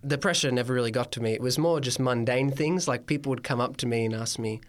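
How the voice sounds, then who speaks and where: A man's voice: medium pitch, fast. Wry, good-humored man telling anecdotes. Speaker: interview guest. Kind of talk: casual anecdotes.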